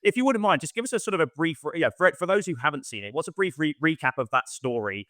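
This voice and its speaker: A man hosting an interview podcast, talking quickly with many uhs and restarts. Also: fairly high pitch, animated, bright, forceful tone, no echo, clean studio sound. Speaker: podcast host.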